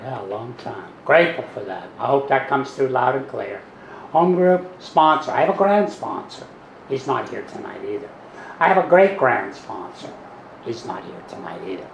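Older man, gravelly, soft-spoken and rambling guest speaker, echoey hall. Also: mid-range voice, very animated, moderate pace. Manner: soft-spoken, self-deprecating, meandering with restarts. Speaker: guest speaker at a recovery meeting. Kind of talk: personal talk to a meeting. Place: meeting hall. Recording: echoey hall, some background noise.

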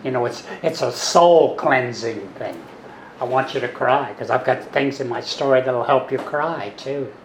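Gravelly, soft-spoken older man. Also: mid-range voice, measured pace. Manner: soft-spoken, self-deprecating, meandering with restarts. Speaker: guest speaker at a recovery meeting. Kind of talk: personal talk to a meeting. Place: meeting hall. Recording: echoey hall, some background noise.

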